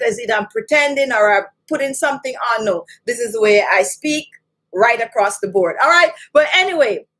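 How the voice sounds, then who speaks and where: Woman, Jamaican accent, high voice, emphatic, repeats herself, beauty tutorial host. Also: fairly bright tone. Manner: chatty, emphatic, repeats key points. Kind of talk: skincare tutorial to camera.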